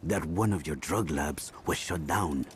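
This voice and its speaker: A man's voice: very deep voice, unhurried, a little hiss or hum. A man acting a coolly disapproving, skeptical cartel associate in a video game.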